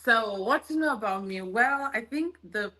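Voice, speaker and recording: A woman's voice: fairly high pitch, lively intonation, moderate pace, sharp tone. Giggly young Italian-born woman. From Italy. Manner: giggly, a bit shy. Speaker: dating show guest. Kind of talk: casual interview chat.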